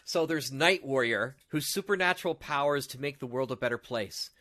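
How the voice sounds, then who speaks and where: A man chatting casually as a podcast host, telling stories in an animated way. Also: mid-range voice, brisk. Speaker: audio drama show host and podcast panelist.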